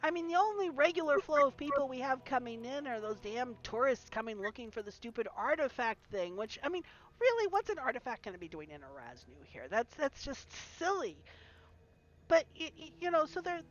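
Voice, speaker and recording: A woman's voice: high voice, sing-song, fairly quick, lo-fi sound. A woman voicing a gossipy common villager who rambles, scoffs and trails off mid-sentence. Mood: grumbling gossip.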